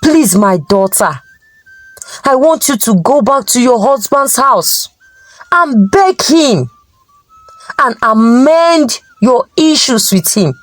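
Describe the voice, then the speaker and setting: A woman's voice: on the high side, animated, takes it slowly, no echo, faint music behind. A woman with a Nigerian accent voicing an earnest village father in a folktale, solemn and imploring. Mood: earnest pleading. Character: earnest, pleading village father advising his daughter.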